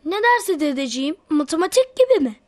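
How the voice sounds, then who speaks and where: A woman's voice: extremely high pitch, sing-song, quick pace, darkish tone, no room sound. A woman voicing an eager, wheedling little boy in a children's cartoon, sweet to his elders. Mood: curious.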